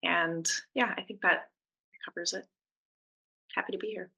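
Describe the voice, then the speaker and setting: Social work professor, woman, very bright and sharp tone with some gravel, casual and brief. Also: fairly low pitch, fairly even intonation, normal speed, lo-fi sound. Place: at a conference abroad. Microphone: video call.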